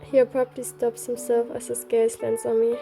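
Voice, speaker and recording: A woman's voice: on the high side, monotone, moderate pace, creaky. A woman reading a scripted story aloud as narrator, also taking the heroine's lines. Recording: background music.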